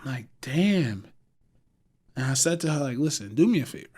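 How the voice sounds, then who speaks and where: A man's voice: medium pitch, moderate pace, slightly rough. A tipsy man with an American accent, co-hosting a podcast in casual chat and telling stories with laughter. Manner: animated, laughing storyteller. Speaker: podcast co-host.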